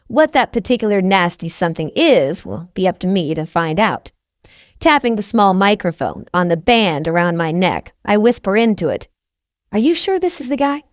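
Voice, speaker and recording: A woman narrates an audiobook in the first person, reading scripted prose. Her pitch is mid-range and the recording is dry, with no room sound. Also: no echo.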